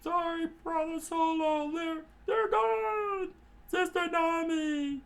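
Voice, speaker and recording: A man voicing panicky young male pirate sidekicks in a strained, high, yelping voice. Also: slow, gravelly, heavily acted. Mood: panicked.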